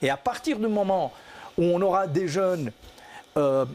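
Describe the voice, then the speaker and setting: A man with a notably high voice speaks with conviction as a guest in a TV studio interview. He stresses his points and repeats them for weight. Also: animated, unhurried, darkish tone. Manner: earnest, emphatic, repeats key points. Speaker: theatre director and actor.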